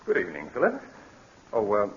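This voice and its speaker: Smooth, scheming radio blackmailer, swooping delivery. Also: male voice, lowish voice, huge pitch swings, a little fast, exaggerated voice. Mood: feigned politeness. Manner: falsely polite, wheedling, turning commanding when crossed. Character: smooth, scheming male blackmailer with a controlling temper. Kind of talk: vintage radio drama acting. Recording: muffled, noisy 1940s-50s radio recording.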